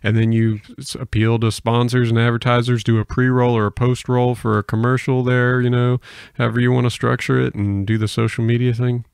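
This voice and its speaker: Smooth young man with some gravel. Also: mid-range voice, little pitch movement, fairly quick, darkish tone, dry recording, studio quality. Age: young adult. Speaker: podcast host. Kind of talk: casual chat. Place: small podcast studio. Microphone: close podcast microphone.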